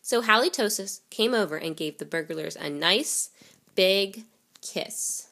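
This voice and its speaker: A woman reading a children's picture book aloud in an expressive storytelling way. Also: mid-range voice, a little slow, vocal fry. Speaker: reader.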